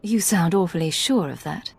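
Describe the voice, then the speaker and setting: An actress playing a composed, quietly sympathetic secret agent in a video game, understated and formal. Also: female voice, on the low side, animated, moderate pace, creaky, husky, dry recording, full character voice. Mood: guarded. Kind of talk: video game drama acting.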